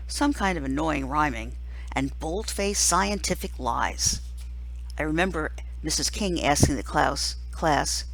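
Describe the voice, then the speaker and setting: Very expressive woman reading a story aloud. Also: low voice, husky, low-quality recording. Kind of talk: expressive audiobook-style narration of a novel.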